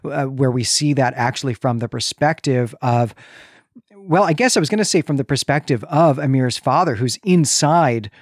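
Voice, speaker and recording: A man's voice: medium pitch, moderate pace, dry recording. American man chatting about film, dry sound. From Chicago, United States. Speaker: podcast co-host. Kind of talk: casual film discussion.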